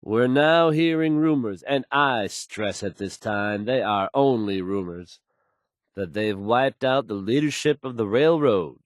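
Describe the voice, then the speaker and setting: An actor playing a confident radio DJ who reads post-apocalyptic news bulletins in an assured, measured, folksy announcer manner. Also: male voice, mid-range voice, dry recording, clean studio sound, natural voice. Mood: measured, serious. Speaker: radio DJ and newsreader.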